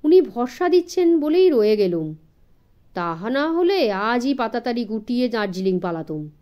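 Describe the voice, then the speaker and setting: Anxious, talkative male tourist for a children's audiobook, high voice, played by a woman. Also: big pitch swings, normal speed, dark tone. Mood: nervous, fretful. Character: nervous, fretful gentleman tourist.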